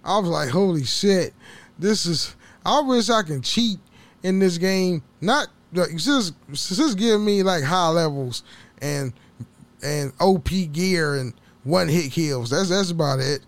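A man's voice: high voice, animated, slow pace. Rambling, profane young-sounding male podcaster. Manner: rambling, profane, laughs often. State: slightly hoarse from waking up. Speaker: podcast host. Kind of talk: casual solo podcast chat.

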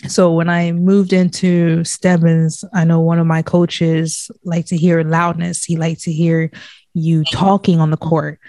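An interviewer with a gravelly, creaky voice asks loose, rambling questions. The sound is dry and close. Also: mid-range voice, little pitch movement, measured pace, darkish tone, no room sound. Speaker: interviewer, former player.

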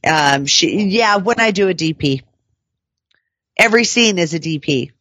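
A woman's voice: lowish voice, a little slow, no room sound, studio quality. A woman co-hosting a casual podcast chat, talking frankly with many hesitations. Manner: frank, relaxed, hesitant fillers. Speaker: podcast co-host.

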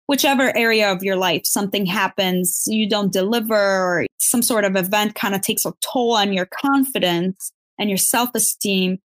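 A woman's voice: medium pitch, dry recording. A young woman from Bulgaria, a pageant contestant, answering interview questions earnestly and encouragingly. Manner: earnest, encouraging, uses fillers like kind of.

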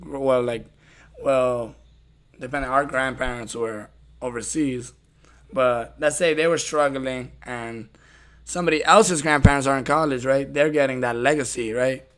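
Mid-pitched man hosting, direct and emphatic. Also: fairly even intonation, a little slow, a bit bright, clear, resonant tone. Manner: emphatic, direct, tags points with "right?". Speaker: podcast host. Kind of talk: casual, opinionated conversation.

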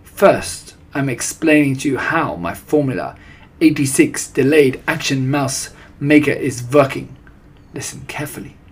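A man's voice: medium pitch, unhurried, slightly rough. A man doing a gloating, imperious old witch. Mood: imperious, instructing. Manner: broken foreign-sounding grammar, commanding, declamatory. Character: disgusting old Grand High Witch, gloating, imperious child-hater. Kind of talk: storybook character voice.

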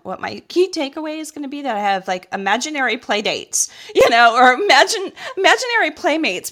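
A woman's voice: medium pitch, a bit bright. A woman co-hosting a radio talk show, joking in a playful, casual way. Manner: playful, jokey. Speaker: radio co-host. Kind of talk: casual chat.

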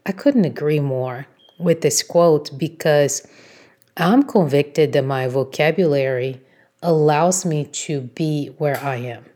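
Low-pitched woman, native Portuguese speaker, motivational talk. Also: slow, mellow. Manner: encouraging, emphatic, instructive. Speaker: podcast host, motivational coach.

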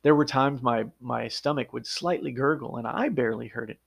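Gravelly-voiced man musing on his podcast. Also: medium pitch, fairly quick. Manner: earnest, conversational, explains step by step. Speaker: podcast host and audiobook narrator. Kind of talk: casual solo podcast monologue.

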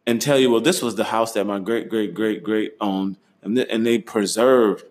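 A young man hosting a casual travel chat, relaxed and slangy, laughing easily. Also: on the low side, normal speed. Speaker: host.

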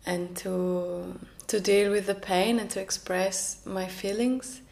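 A young woman speaks English slowly and softly. Her pitch stays quite level as she tells her story, pausing and restarting now and then. She sounds reflective and unhurried. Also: fairly even intonation, creaky. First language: Norwegian. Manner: soft and reflective, with small restarts. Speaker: podcast host. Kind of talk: personal storytelling.

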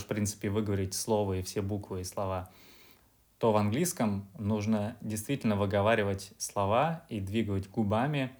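Low-voiced man explaining calmly. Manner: measured, explanatory. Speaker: podcast guest. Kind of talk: casual interview.

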